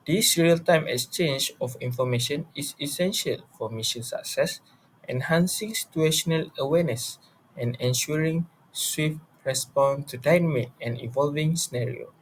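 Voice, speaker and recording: A fairly high-voiced young man reads from a formal script. He sounds like a student presenter, with slight noise behind him. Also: moderate pace, poor audio. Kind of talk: scripted presentation read aloud. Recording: faint background noise.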